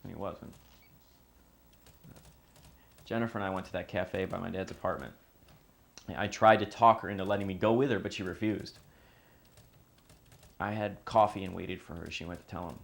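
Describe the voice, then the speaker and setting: A man playing a troubled son in an audio drama, giving testimony in a halting, confiding way. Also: deep voice, vocal fry. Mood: nervous. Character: anguished son recalling his father's clash with his girlfriend. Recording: faint background noise.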